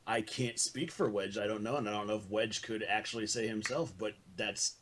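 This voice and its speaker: Guy with a kinda husky voice arguing on a group call, blunt and sweary. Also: lowish voice, steady tone, moderate pace, fairly bright tone. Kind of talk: heated group voice call argument.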